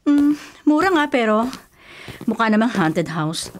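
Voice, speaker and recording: A picky Filipina bride-to-be in a radio drama, high-voiced and very expressive in intonation. She is skeptical, blunt and questioning, with faint noise behind her. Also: measured pace. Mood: skeptical, fussy. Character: fussy, skeptical, superstitious bride-to-be.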